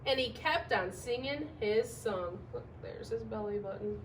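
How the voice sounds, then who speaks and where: A woman reads a picture book aloud to young children in an expressive, emphatic, sing-song way, putting questions to her listeners. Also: a little high, unhurried. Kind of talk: storybook read-aloud with sung refrains. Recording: distant microphone with faint background noise.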